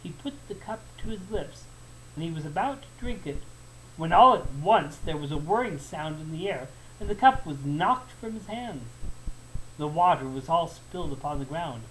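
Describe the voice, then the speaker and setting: A man narrating a folktale as a storyteller, in an expressive, deliberate and emphatic way. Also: a little high, low-quality recording. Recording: faint background noise.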